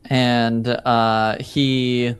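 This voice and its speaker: A man running a tabletop game as game master, narrating off the cuff in halting, hesitant phrases. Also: medium pitch, level tone, clean tone.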